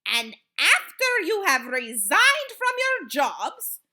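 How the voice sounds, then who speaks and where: A woman's voice: very high-pitched, big pitch swings, takes it slowly, bright, forceful tone, pitched above the performer's own voice, exaggerated voice. A woman voicing a tyrannical, child-hating chief witch in a children's storybook, screeching and barking out imperious orders. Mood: commanding. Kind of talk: theatrical children's audiobook villain.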